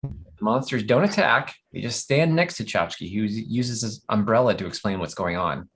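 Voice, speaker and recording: A man's voice: fairly low pitch, animated, normal speed, a bit bright. A man reading scripted stage directions as narrator at a comedy table read.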